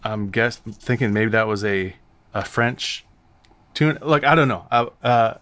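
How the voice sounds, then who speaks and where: An American man hosting a reaction video, talking off the cuff in an animated, exclamatory, halting and rambling way. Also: fairly low pitch. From United States. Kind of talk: casual, off-the-cuff reaction commentary. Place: studio.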